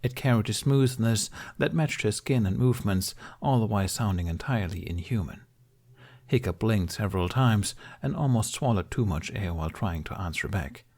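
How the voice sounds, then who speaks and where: A man's voice: lowish voice, normal speed, a little mellow. A man reading a scripted story as an audiobook narrator.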